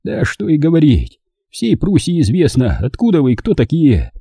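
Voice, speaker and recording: A man's voice: fairly high pitch, wildly swooping pitch, normal speed, dark tone, husky, no room sound, studio quality, pitched above the performer's own voice, exaggerated voice. A man voicing a frightened, tearful old German man who whines and begs for his life. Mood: lamenting. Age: older. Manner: tearful, whining, pleading.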